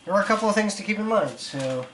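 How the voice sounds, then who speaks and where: A man with a cold and a raspy voice, casually explaining pottery as he throws pots on the wheel, thinking aloud with fillers and self-corrections. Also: high-pitched, expressive, fast. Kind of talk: casual instructional talk. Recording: loud background noise.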